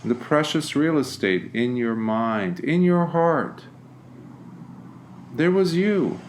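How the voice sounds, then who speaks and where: Slow-speaking man guiding a meditation. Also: fairly high pitch, lively intonation, a little hiss or hum. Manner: gentle, deliberate, with long pauses. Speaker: meditation teacher. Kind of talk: guided meditation.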